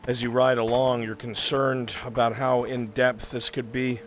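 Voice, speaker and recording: Male game master, low flat delivery, narrating fantasy roleplay, clear rain noise behind. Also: a little slow. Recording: noisy, with steady rain sounds. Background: rain ambience.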